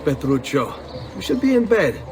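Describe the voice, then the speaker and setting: A man's voice: on the high side, big pitch swings, moderate pace, soft background music. An actor playing a cocky, charming young Italian nobleman in a video game, with an Italian accent. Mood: caring, protective. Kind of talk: video game cutscene acting.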